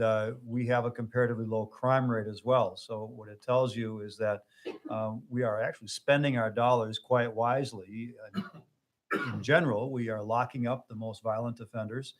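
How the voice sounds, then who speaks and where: A man, a legislator at a town hall explaining policy and citing figures, with some fillers. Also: on the low side. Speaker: state legislator. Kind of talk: answering constituents at a town hall. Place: public meeting room.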